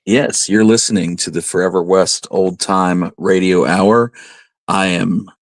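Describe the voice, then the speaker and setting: A man's voice: low voice, fairly even intonation, unhurried, no echo. A man casually hosting a radio show, speaking over a telephone. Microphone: rotary telephone.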